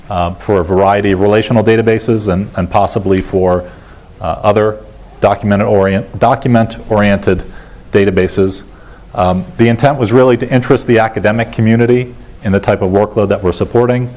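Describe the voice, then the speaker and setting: Low-pitched middle-aged American man presenting. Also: darkish tone. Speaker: conference keynote speaker. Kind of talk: technical conference presentation. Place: large lecture auditorium, indoors. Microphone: lapel mic.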